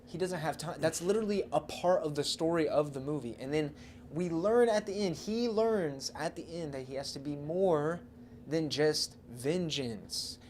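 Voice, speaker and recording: A man with a slightly high voice hosts a casual podcast chat. He talks informally, with loose slang. Faint noise sits behind his voice. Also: moderate pace, darkish tone. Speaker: podcast host. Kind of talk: casual chat about films and comics.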